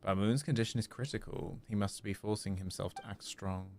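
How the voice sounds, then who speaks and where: A man's voice: on the low side, steady tone, fairly quick, darkish tone, a little hiss or hum. A man livestreaming a game, reacting casually and playfully. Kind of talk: casual gaming stream reaction.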